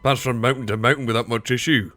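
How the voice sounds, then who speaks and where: An earnest dwarf engineer acted by a man in a fantasy audio story. He speaks steadily with very little pitch movement, over faint music. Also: fairly even intonation, fast, sharp tone, lightly acted.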